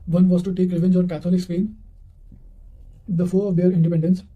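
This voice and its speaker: A man reading quotations aloud for exam revision in a measured way, sometimes stumbling and repeating words. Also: high-pitched, little pitch movement, narrow, tinny audio. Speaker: narrator of exam revision notes.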